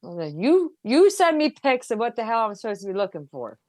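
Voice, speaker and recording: A woman's voice: on the high side, sing-song, a little fast, darkish tone, dry recording, studio quality. A woman chatting casually as a radio co-host, with a blunt, informal way of talking. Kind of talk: casual chat. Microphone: built-in laptop mic.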